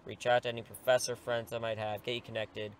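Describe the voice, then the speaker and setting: A man's voice: mid-range voice, flat delivery, fast talker, clear, resonant tone, natural voice. An actor in an audio drama playing an earnest, persuasive teacher in his thirties who is secretly a superhero, pleading and wryly self-deprecating. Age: 30s.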